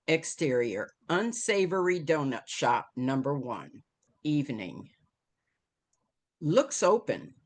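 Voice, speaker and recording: A woman reading screenplay stage directions aloud as scripted narration, with dramatic, deliberate phrasing. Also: deep voice.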